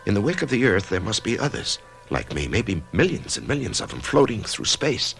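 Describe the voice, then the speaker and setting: An actor playing an author who narrates his own death in a whispery, hushed voice, stammering with wonder, in a radio drama. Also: on the low side, wildly swooping pitch, fairly quick, gravelly, soft background music, exaggerated voice. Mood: awed. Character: dying male author, awed, curious. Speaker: narrator and lead.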